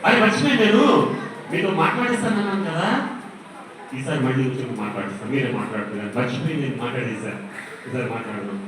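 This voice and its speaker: A man's voice: medium pitch, fast. A man hosting a live children's puppet show in a playful, questioning, teasing way. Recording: echoey hall, far mic, loud noise.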